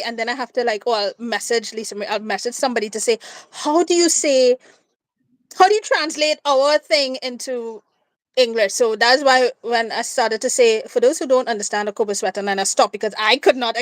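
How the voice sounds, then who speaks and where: A woman's voice: a little high, brisk, no echo. Female livestream co-host, Trinidadian accent, chatty and playful, recorded dry at home. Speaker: co-host of a live watchalong. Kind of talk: casual live chat. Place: indoors in a room at home.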